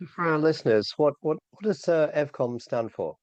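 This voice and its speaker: A man's voice: a little high, lively intonation, normal speed, darkish tone, no echo, muffled recording. A man chatting as a podcast guest, with plenty of fillers and the odd laugh. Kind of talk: casual panel chat.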